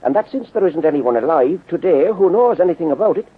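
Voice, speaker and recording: An Irish-accented police sergeant from a 1930s radio serial. His voice is high and husky, with an animated, plain-spoken and slightly halting delivery. The recording is narrow and tinny, like an old broadcast. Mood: earnest, puzzled. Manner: folksy, hesitant, expressive. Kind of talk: 1930s radio drama acting.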